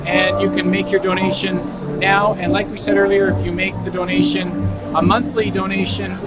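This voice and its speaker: A man volunteering at a charity event, explaining donation details in a steady, matter-of-fact way. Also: high voice, moderate pace. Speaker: volunteer, co-host. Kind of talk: casual live-stream chat. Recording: loud music behind.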